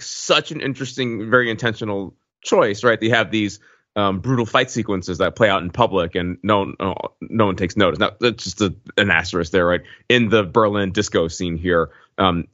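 Low-voiced man, halting film chat. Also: fairly bright tone, no room sound, muffled recording. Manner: halting, tags points with "right?". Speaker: podcast co-host. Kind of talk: casual analytical film discussion.

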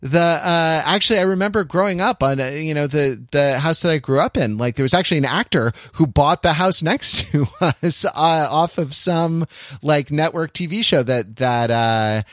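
A man's voice: on the high side, normal speed, a bit bright, no echo, studio quality. American man rambling in casual podcast discussion. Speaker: podcast co-host.

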